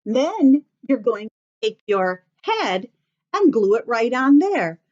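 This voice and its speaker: Woman teaching young children, animated and unhurried. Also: a little high, highs cut off. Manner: simple step-by-step phrasing, encouraging children with questions. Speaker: children's teacher leading craft and story time. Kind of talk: instructional talk to young children.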